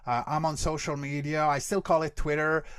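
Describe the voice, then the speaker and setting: Guy, kinda high voice, casual expert explaining stuff with examples, no room echo. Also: level tone, fairly quick, clear, resonant tone. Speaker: guest expert, creature voice actor and workshop teacher. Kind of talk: casual explanatory interview answers.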